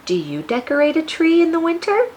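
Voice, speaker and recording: An American woman teacher reading a picture book aloud to young children, expressive, with questions and teaching asides. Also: very high-pitched, brisk, a little hiss or hum.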